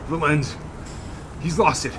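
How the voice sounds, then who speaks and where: A man's voice: fairly high pitch, measured pace, lightly acted. A man playing a frightened truck driver under questioning in an audio drama. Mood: frightened, shaken. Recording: loud outdoor vehicle noise behind.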